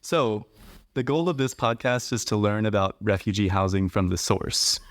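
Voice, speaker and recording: A man hosting a podcast interview, speaking in a measured, explanatory way. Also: on the low side, dry recording, clean studio sound. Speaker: podcast host.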